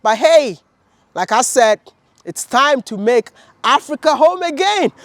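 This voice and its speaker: Excited man vlogging. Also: very high voice, unhurried, no echo. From Ghana. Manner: excited, upbeat greetings. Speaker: vlogger and interviewer. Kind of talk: travel vlog and casual interview.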